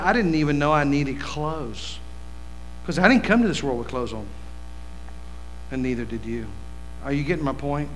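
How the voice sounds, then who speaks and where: A man in his fifties from West Virginia, preaching a sermon in a folksy, animated way with asides to the congregation. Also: a little high, a little hiss or hum, rough recording. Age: 50s. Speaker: pastor.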